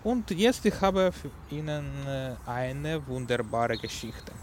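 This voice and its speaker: A man with a Ukrainian accent hosts a community radio music show, speaking very slowly and pausing often to search for German words. His voice sits at an ordinary male pitch. Clear background noise runs under the recording. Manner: halting, searching for German words, many fillers. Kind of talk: unscripted radio music presentation.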